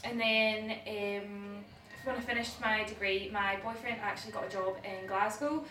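A woman's voice: mid-range voice, steady tone, normal speed, distant mic, background noise. A Northern Irish woman in her twenties, a salon manager, telling her own story and acting out past conversations. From Northern Ireland. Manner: storytelling, acts out past dialogue. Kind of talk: personal story in an interview. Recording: roomy, away from the mic.

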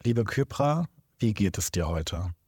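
Low-voiced male podcast host. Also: lively intonation, a little slow, darkish tone, husky, no room sound, clean studio sound. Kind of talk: hosting a podcast interview. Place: indoor room in Berlin.

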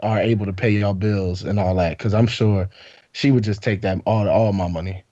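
A low, mellow-voiced man speaks in an easygoing, reflective way. The room is dry and the sound dull and lo-fi. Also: steady tone, normal speed, no room sound. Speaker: podcast co-host. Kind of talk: casual chat.

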